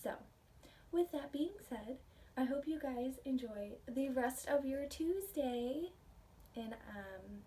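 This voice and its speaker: High-voiced woman reading slowly to children. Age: adult. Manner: gentle, expressive, asks the listeners questions. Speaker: children's storybook reader, teacher. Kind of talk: read-aloud of a picture book with simple commentary for children. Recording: distant mic with faint background noise.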